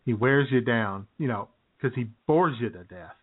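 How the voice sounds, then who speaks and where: A man's voice: medium pitch, expressive, moderate pace. A man co-hosting a comedy radio show with wry, casual banter, often repeating his phrases. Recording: tinny, narrow phone-like sound.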